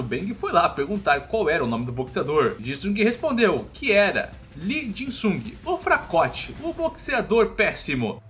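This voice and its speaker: A man's voice: high-pitched, bright, forceful tone. A man with a Brazilian accent giving a scripted, colloquial narration of a comic story recap.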